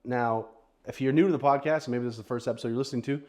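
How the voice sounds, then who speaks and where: A man's voice: medium pitch, steady tone, very fast, darkish tone. A man co-hosting a podcast in a casual, bantering style, often joking with his co-host.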